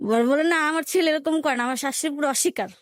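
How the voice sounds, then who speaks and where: A young Bangladeshi woman speaks in a high voice, recorded close and dry. She tells a personal story in everyday colloquial language, slipping into reported dialogue as she narrates. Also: fast, dry recording. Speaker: guest telling her life story.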